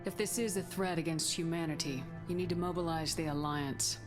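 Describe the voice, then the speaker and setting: Casting: actress as blunt, guarded game commander, low female voice, firm delivery, music underneath. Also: fairly even intonation, brisk, lightly acted. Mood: skeptical, firm. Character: tough, guarded female military commander, video game hero. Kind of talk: video game cutscene acting. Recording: music behind the voice.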